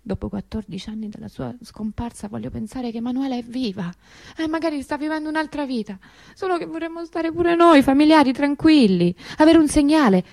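An actress playing an anguished, stubbornly hopeful grieving sister in a theatrical radio monologue, intense and emotive with dramatic pauses. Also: female voice, sits high, vocal fry, a little mellow, dry recording. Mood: wistful pleading.

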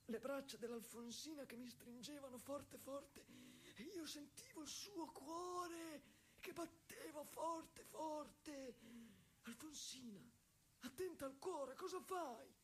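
A woman playing a gentle, wistful husband confined in an asylum, in an intimate stage monologue with halting, simple, repetitive, emotive phrasing. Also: high-pitched, a little hiss or hum. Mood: tender, aching.

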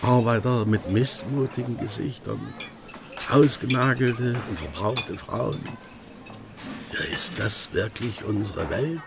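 An actor in a radio drama playing a self-satisfied, boastful East German painter with a declamatory manner. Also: male voice, mid-range voice, slow pace, background noise. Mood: indignant. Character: boastful East German painter, jovial party-loyal man.

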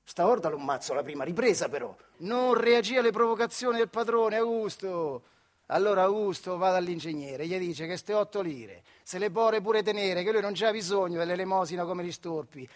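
A man's voice: high voice, moderate pace. A man with a central Italian accent from the Marche, telling a story as a colloquial theatrical monologue with conversational asides. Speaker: storyteller, stage actor.

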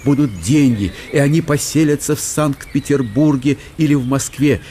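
A man reading literary narration for a radio play in an expressive, theatrical storytelling manner. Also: medium pitch, normal speed, slight background noise. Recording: studio radio play with faint music.

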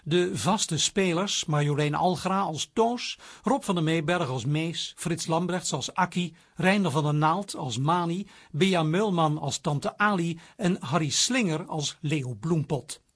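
A man reading formal, measured closing credits for a radio drama series as its director. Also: a little high, breathy edge. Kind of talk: scripted radio announcement.

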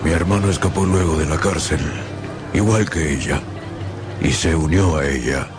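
An actor playing a grim executioner in a radio drama, grave and deliberate. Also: male voice, very deep voice. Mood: grave, sombre. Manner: grave, slow, deliberate delivery. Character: grim executioner testifying about his dead brother. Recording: music behind the voice.

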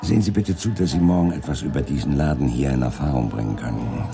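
Very deep-voiced shrewd police inspector. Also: male voice, quick pace. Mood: musing, authoritative. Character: seasoned male police chief inspector, shrewd, in command. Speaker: actor in a crime radio play. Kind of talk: 1980s German crime radio drama acting. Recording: studio radio play with music behind.

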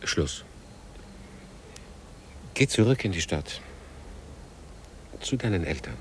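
A man acting a weary, despairing figure in a radio drama, speaking in terse, halting phrases. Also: low-pitched, sing-song, a little hiss or hum, heavily acted. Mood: agitated, rejecting. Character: weary, despairing man who wants to die.

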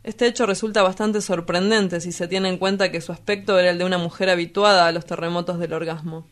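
A woman's voice: lowish voice, steady tone, fast, lightly acted. A woman with an Argentine accent playing a brooding, blunt, poetic lover who lives by night, in a radio drama.

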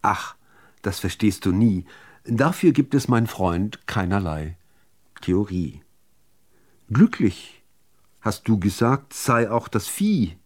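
A man reciting literary poetry, phrasing it in a measured, deliberate way with expressive emphasis. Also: lowish voice. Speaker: reader of poems.

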